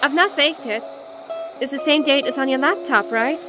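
A woman playing a calm, grave supernatural expert posing as a doctor in a radio drama, measured and gently apologetic. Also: high-pitched, music in the background, lightly acted.

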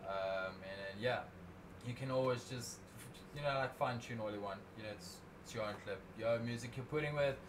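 A man's voice: mid-range voice, fairly quick. Casual young man. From South Africa. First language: Afrikaans. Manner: rambling, self-correcting, casual. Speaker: drone video creator. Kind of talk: livestream screen-recorded editing tutorial. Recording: noticeable background noise.

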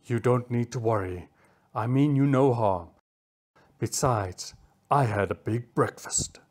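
A woman voicing a stern, commanding male cheetah with a calm, menacing manner in a children's story. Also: mid-range voice, a little slow, voice pushed down, close to an everyday voice. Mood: calm, assured. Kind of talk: children's animal story character voice.

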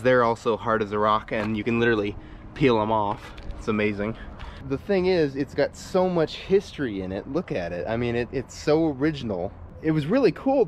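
A man in the middle of the male range chats eagerly while filming outdoors on a handheld camera. He rambles, restarts sentences and fills gaps with "uh". A faint outdoor noise sits under his voice. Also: medium pitch. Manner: enthusiastic, rambling with fillers and restarts. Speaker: YouTube motorbike restorer. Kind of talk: casual show-and-tell vlog. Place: outdoors on a brick patio by a shed.

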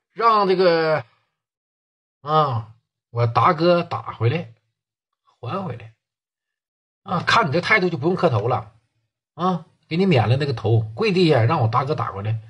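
A man voicing a smug, domineering gang boss who talks down with a slow drawl. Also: fairly high pitch, fairly bright tone, husky, heavily acted.

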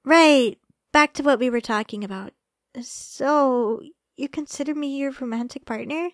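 A woman playing a sweet, shy young girlfriend in a romantic ASMR roleplay, speaking softly and hesitantly with affection. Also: sits high, a little slow, no room sound, studio quality. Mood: affectionate, playful. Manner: soft, hesitant, affectionate, stammering when flustered.

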